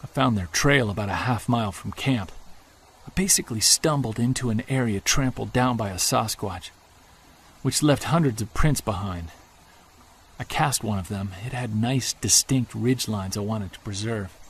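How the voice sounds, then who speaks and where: An actor playing a Bigfoot hunter on an expedition, talking low and excited into his field recorder, with faint outdoor sound behind him. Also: moderate pace, slightly rough. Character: obsessed male Bigfoot hunter alone in woods. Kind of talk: audio drama field-recorder monologue. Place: woods at night and day.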